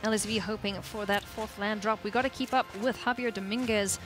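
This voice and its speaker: A woman's voice: mid-range voice, fairly even intonation, a little fast. A woman hosting and commentating live on an esports match from a broadcast desk, on a headset mic. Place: broadcast desk in an event arena. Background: music.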